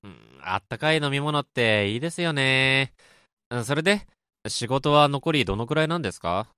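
A man's voice: medium pitch, lively intonation, brisk, bright, forceful tone, clean tone, no room sound, clean studio sound. A man playing a considerate, upbeat office worker in a radio drama, soothing and coaxing gloomy colleagues. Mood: chatty. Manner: coaxing, placating.

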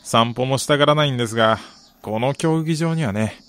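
A man's voice: medium pitch, brisk, fairly bright tone, smooth, no room sound, natural voice. An actor playing an affable man in his forties in a radio drama, easygoing and folksy in his talk. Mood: friendly, easygoing. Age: 40s. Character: affable fortyish man, dog owner and grumbling football fan.

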